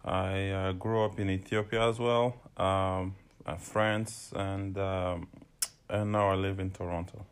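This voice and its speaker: A man from Togo speaking hesitantly, with many pauses and fillers, as he tells how he met his wife. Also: low voice, flat delivery, smooth. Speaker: interviewee, husband and father. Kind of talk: casual chat.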